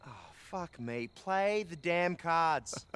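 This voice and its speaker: An actor playing a brash, sarcastic, foul-mouthed young male soldier in a war game, excitable and mocking. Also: on the high side, slow, smooth.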